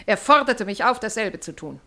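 A woman's voice: mid-range voice, animated, normal speed, bright, forceful tone. An actress playing a haughty, domineering society horsewoman in a radio play, declaiming with imperious indignation. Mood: indignant. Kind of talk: theatrical radio play acting.

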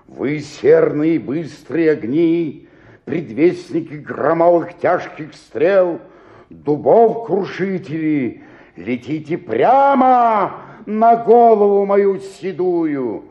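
An actor playing an older, self-pitying tragic stage actor in a radio play, declaiming theatrically and swinging from murmur to roar. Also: male voice, fairly high pitch, expressive, slow pace, muffled recording. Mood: grandiose, raging.

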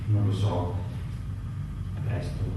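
A man's voice: low-pitched, level tone, drawn-out words. Man acting a brave young fireman, echoey, noisy recording. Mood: hurried reassurance. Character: young fireman husband, brave, protective, stubborn. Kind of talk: intense stage-like radio drama acting. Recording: echoey room from far off, noisy.

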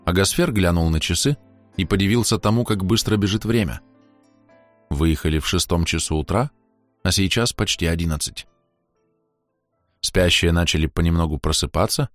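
A low-voiced male narrator reads a novel aloud. The delivery is scripted literary storytelling, recorded dry and close, with no room around it. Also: dry recording. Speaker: audiobook narrator.

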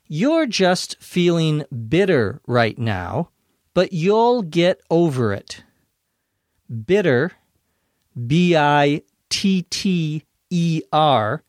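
A man's voice: on the high side, darkish tone, dry recording. A man hosting an English lesson podcast. He patiently explains vocabulary and spells words out, with a mildly playful touch, into a close studio microphone. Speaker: English teacher and podcast host.

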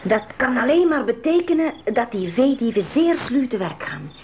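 A woman acting a bold, inquisitive young sister who likes to tease, in a lively 1980s school radio drama style. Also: fairly high pitch, normal speed, slight background noise.

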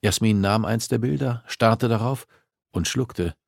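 A man narrates scripted fiction as an audiobook reader. His voice is on the low side, and the delivery is dramatic, captured close and very dry. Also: unhurried, creaky, no room sound.

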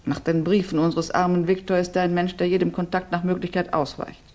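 An actress playing a resolute, commanding middle-aged Russian mother in a 1960s radio drama, speaking in a measured, insistent way. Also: lowish voice, flat delivery, darkish tone, lightly acted. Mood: grave.

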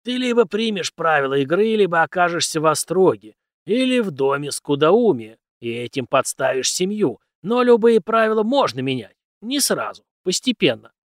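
A man's voice: high voice, sing-song, moderate pace, few pauses, no room sound, clean studio sound, pitched above the performer's own voice. A man voicing a stern, cynical, commanding mentor in an audiobook, lecturing emphatically with rhetorical questions. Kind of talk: audiobook character dialogue.

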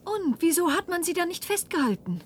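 A woman's voice: very high-pitched, sing-song, fairly quick. An actress playing an urgent, bewildered woman who questions insistently in a science-fiction radio drama. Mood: concerned.

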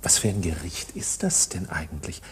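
An actor playing a polite, bewildered accused man in a German radio play, questioning in a formal manner with a husky voice. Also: deep voice, moderate pace, a little hiss or hum, lightly acted. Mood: puzzled, indignant.

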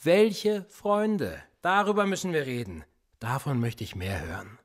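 A man's voice: medium pitch, expressive, measured pace, natural voice. A man playing a needling police interrogator. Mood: insinuating. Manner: needling, insinuating questions. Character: menacing male police interrogator, probing and mocking. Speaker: police officer. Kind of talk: radio play acting.

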